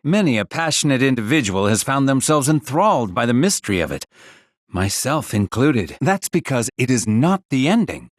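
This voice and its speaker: Lively-toned cultured theatre devotee. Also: male voice, medium pitch, moderate pace, dry recording, clean studio sound. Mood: reverent. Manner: erudite, formal.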